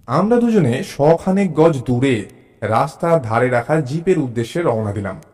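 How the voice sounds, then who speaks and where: A man narrating a first-person suspense story in an expressive, dramatic way, with deliberate pauses. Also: mid-range voice.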